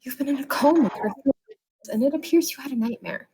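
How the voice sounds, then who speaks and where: Eerily sweet hospital nurse, acted by a woman, high, measured, sinister, for a horror storybook. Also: no echo. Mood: calm. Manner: calm, drawn-out.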